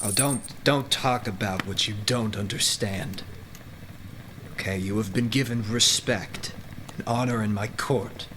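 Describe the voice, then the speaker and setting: A man's voice: lowish voice, a little slow, background noise, close to an everyday voice. A man acting a stern, guarded lord of the underworld in a radio play, with a curt, commanding manner. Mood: angry, threatening.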